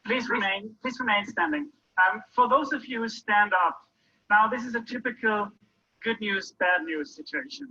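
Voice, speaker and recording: A man's voice: high-pitched, moderate pace, pushed and bright, husky. A man co-presenting a workshop, explaining the audience's quiz results. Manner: explanatory, giving verdicts to the audience. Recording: muffled lo-fi recording.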